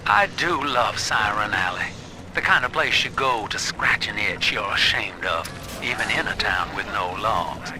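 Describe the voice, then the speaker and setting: Low-voiced wry, seedy city dweller. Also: male voice, animated, measured pace. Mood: wry, amused. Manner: folksy, wry, savoring storytelling. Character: worldly, wry denizen of a seedy underwater city. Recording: loud mechanical and rumbling background noise.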